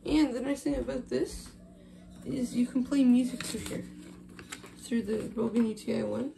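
A woman's voice: on the high side, fairly even intonation, normal speed, creaky, mellow, slight background noise. A woman talking casually off the cuff as she explains a hands-on tech project, recorded indoors on a handheld phone camera. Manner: informal, explaining while handling gear. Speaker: video host showing a phone system project. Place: small indoor room with wooden floor. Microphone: handheld phone camera, moving around.

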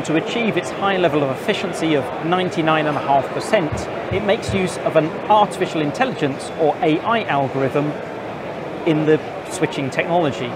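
Man presenting on a noisy trade show floor. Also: on the high side. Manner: clear, informative presenting to camera. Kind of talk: scripted trade show reporting. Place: busy indoor trade show hall. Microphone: lapel mic.

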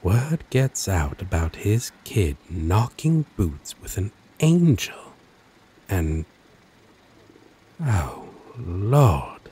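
A man's voice: sits low, big pitch swings, warm tone, husky, full character voice. A man playing a flirtatious, possessive demon lover in an intimate, teasing ASMR roleplay. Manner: slow, teasing, chuckling drawl.

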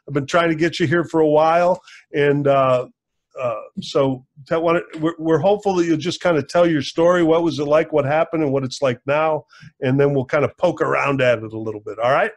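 Older guy hosting a podcast on a video call, lots of uhs and restarts, from home. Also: on the high side. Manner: halting, many fillers and restarts. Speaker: podcast host. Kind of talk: casual interview introduction. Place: home room.

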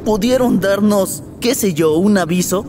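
A man's voice: high voice, measured pace, noisy background. A man playing a cautious, complaining demon underling in a cartoon fan dub, with a Latin American Spanish accent. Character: fussy, worried male demon underling. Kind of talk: animated fan dub acting.

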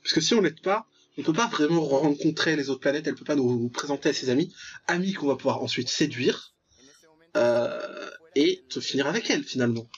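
A man's voice: on the high side, expressive, fairly quick. A young man giving casual, jokey, mock-dramatic commentary while playing a visual novel, muttering asides and reading text aloud. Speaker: gaming video host. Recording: dull lo-fi voice track over clear music.

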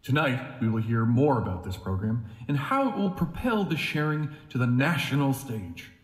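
Guy acting as slick grandiose leader giving a speech, really animated pitch, big echoey hall, audio drama. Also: lightly acted. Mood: promotional. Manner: grandiose, deliberate, dramatic pauses. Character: charismatic male organization president with veiled menace. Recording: echoey hall with long reverb.

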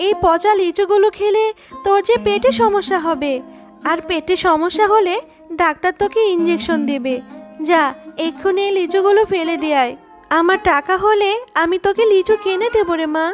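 A woman's voice: very high-pitched, moderate pace, music in the background. A woman voicing a poor, caring animal mother in a children's cartoon, earnest, fretful and motherly. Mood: worried, firm. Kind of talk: children's cartoon story dialogue.

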